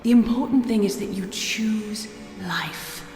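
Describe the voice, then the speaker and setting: Long reverb surrounds an earnest woman geneticist's monologue from a video game, voiced by an actress. She is tender and resolute, speaking in a measured, solemn way that softens at phrase ends. A musical score runs clearly behind her. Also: mid-range voice, soft background music, natural voice. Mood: earnest, urging.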